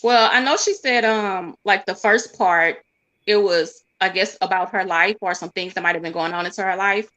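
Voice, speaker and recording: A woman actor on a talk show as a guest, chatty and animated as she tells how she joined the project. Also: mid-range voice, normal speed, poor audio. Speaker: stage play actor, guest. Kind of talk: guest interview.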